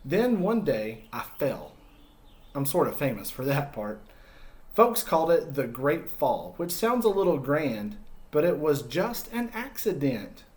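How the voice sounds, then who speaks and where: A man's voice: on the high side, very animated, measured pace, a little mellow. A man voicing a timid yet persevering egg in a children's storybook, narrating earnestly and expressively in the first person. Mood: wistful, friendly.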